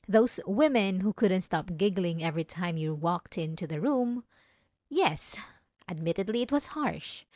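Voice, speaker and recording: Woman acting a possessive, sweetly menacing wife, lively pitch. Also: no room sound, clean studio sound. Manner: soft, coaxing, sweetly patronizing with small laughs. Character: possessive obsessive wife, sweetly menacing, coaxing her spouse. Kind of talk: ASMR roleplay acting.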